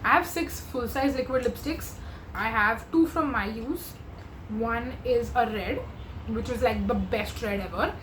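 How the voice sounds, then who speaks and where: A woman, chatting to camera as a beauty vlogger in a gushing, filler-heavy way, her voice going hoarse. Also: fairly high pitch, reverberant, slight background noise, poor audio. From India. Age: 20s. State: losing her voice. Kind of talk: casual makeup chat to camera.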